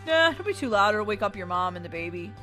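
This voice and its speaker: A woman voicing a cheerful, easygoing, goofy dad in an animated, playful family role-play over a video game. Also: medium pitch, fast talker, soft background music. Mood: breezy, goofy.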